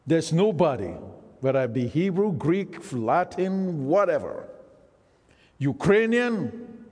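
Preacher guy, slow sermon, keeps quizzing the crowd, big echoey church hall. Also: on the high side, very animated, darkish tone. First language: Isoko. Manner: engaging, quizzes the congregation. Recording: reverberant hall.

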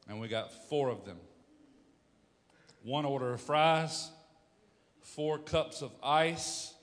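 A pastor in his fifties preaching a sermon, emphatic, swinging from loud bursts to hushed storytelling. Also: medium pitch, big-room sound.

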